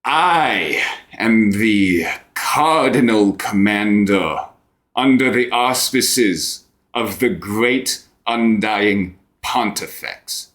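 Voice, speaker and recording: A man's voice: fairly low pitch, drawn-out words, a bit bright. A man voicing a grandiose undead cardinal commander in a tabletop game, formal and commanding. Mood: pompous, menacing.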